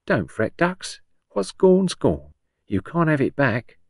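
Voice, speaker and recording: A man's voice: fairly high pitch, very animated, slow pace, no echo, studio quality, voice pushed up, full character voice. A man voicing a shrewd, blunt old working-class woman patient in an audiobook, chatty and colloquial with folksy endearments. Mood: cheeky, resigned. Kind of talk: audiobook character dialogue.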